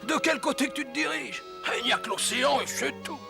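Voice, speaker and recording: A man's voice: very high voice, brisk, creaky, full character voice. A man voicing a skeptical, grumbling sailor in a French cartoon, with a dismissive know-it-all manner. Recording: music behind the voice.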